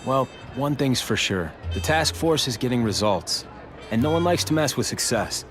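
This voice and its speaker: A man's voice: mid-range voice, lively intonation, normal speed, fairly bright tone, faint music behind, natural voice. An actor playing a young male tech heir, friendly but busy and a bit distracted.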